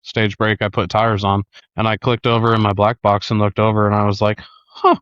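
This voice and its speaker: A man talks casually as a podcast co-host, rambling conversationally. His lowish voice comes through dry and slightly dull, with a limited frequency range. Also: flat delivery, a little fast, a bit bright, smooth, no room sound. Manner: conversational, rambling, uses "like" often. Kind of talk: casual sports chat.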